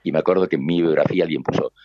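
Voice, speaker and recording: An older man from Argentina, a radio announcer with a deep booming voice and an Argentine Spanish accent, telling stories from his life in a relaxed conversation. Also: very fast, no room sound, muffled recording. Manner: warm reminiscing, acts out quoted voices. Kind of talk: conversational storytelling.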